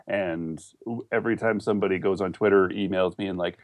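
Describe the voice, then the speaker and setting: Podcast guest: male, Canadian accent, lowish pitch, dry close sound, informal rambling storyteller. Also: steady tone, quick pace, no echo. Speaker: podcast guest, online entrepreneur. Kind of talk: casual interview chat.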